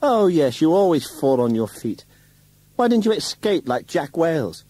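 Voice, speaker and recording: A man reading a novel aloud, putting on the voice of a young woman who speaks with low, controlled contempt and turns to sharp accusation. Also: moderate pace, breathy edge, dry recording. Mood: accusing. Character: bitter scornful young woman lashing out.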